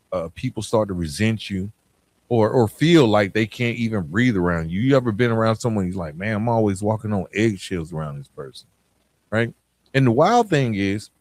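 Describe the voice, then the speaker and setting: A man hosting a podcast, giving a reflective motivational monologue, speaking directly to the listener with emphatic pauses. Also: medium pitch, no room sound. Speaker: podcast host.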